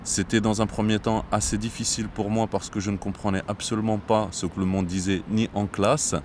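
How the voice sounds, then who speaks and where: A man in his thirties from Morocco, a border police captain, speaking in a measured interview with frequent hesitations. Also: lowish voice, level tone, darkish tone, slight background noise. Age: 30s. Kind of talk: interview monologue. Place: outdoors near traffic.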